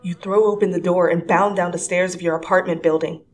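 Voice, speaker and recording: A woman giving a dramatic second-person story reading, swinging from hushed whispers to breathless, excited rises. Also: lowish voice, a little fast. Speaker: fanfiction reader.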